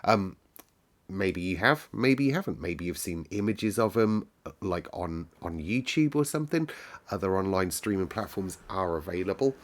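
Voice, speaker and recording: Male storyteller, lowish pitch, conversational, musing, read-aloud host. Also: lively intonation, normal speed. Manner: musing, conversational, thinking out loud. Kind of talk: story read-aloud and casual chat with viewers.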